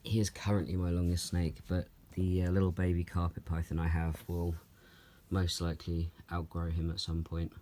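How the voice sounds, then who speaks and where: A young man from Norway talks through viewer questions at home with his snakes. His voice sits very low and mellow, and it stays level, without much rise or fall. He speaks in a calm, unhurried way, full of ums and soft laughs. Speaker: reptile keeper answering viewer questions. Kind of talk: relaxed Q&A vlog. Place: at home, handling snakes while filming.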